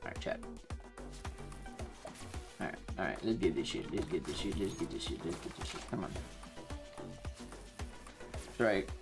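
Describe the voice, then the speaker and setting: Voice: sits low, very animated, normal speed, vocal fry, pauses often, music in the background. A streamer chatting casually and swearing freely while playing a game on a livestream.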